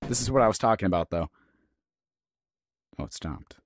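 A man's voice: low voice, lively intonation, normal speed, over music. A man casually commentating as he plays a horror game, swearing often and reacting with sudden jumps. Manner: swears often, jumpy reactions, explains mechanics aloud. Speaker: gaming youtuber. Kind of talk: casual let's-play commentary.

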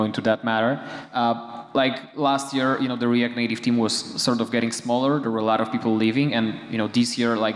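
Young male panelist, steady monotone delivery, lots of fillers, handheld mic, long hall reverb. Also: medium pitch. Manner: hesitant, many fillers and restarts. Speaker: panelist, framework contributor. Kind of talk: conference panel discussion. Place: conference stage, large hall. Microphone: handheld microphone.

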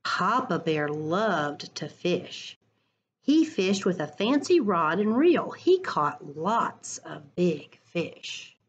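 A middle-aged woman reading a story aloud to children in a deliberate, expressive way, recorded on a computer at home. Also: fairly low pitch, lo-fi sound, slight background noise. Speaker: school educator reading to children. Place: living room at home. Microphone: computer webcam at arm's length.

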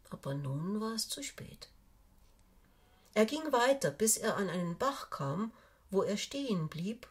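An older woman reading a fairy tale aloud for children, with measured, expressive storytelling and deliberate pauses. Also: medium pitch, slightly rough. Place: small indoor room, seated on a sofa.

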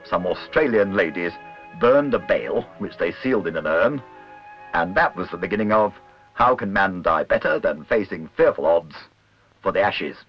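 A man reading scripted documentary narration in a measured, literary, wry manner. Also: low voice, expressive, husky. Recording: dull old film soundtrack, music behind.

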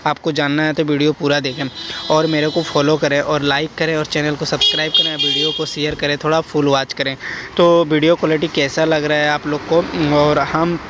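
Young male motovlogger, rambling commentary while riding, monotone, wind and road noise. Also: a little high, fairly quick. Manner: rambling, chatty, addresses viewers as guys. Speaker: moto vlogger. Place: outdoors on a motorcycle on rural roads. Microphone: helmet-mounted action camera.

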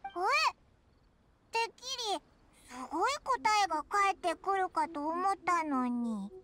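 Squeaky, very high fairy companion. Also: female voice, animated, unhurried, a bit bright, full character voice. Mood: puzzled. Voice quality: squeaky, childlike. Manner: blunt, exclamatory, cutesy. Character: small childlike floating fairy companion, cheeky and curious. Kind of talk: Japanese anime-style game voice acting.

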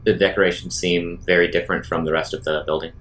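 Deep voice guy talking fast, playing a tabletop rpg, bit of background noise. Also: level tone, a bit bright. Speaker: roleplaying game player. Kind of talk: casual tabletop game chat.

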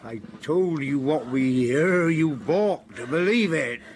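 A man's voice: on the high side, animated, slow, a little mellow. An actor playing a loyal, plain-spoken comrade in a radio drama, soothing and guarded as he handles a troubled soldier.

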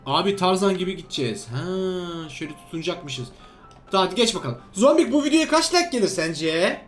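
A man's voice: sits high, big pitch swings, brisk, faint music behind. A man hosting a gaming video, giving exclamatory, bantering commentary to a co-player and often repeating instructions. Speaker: gaming video host playing a character named Steve. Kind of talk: excited game commentary with a co-player.

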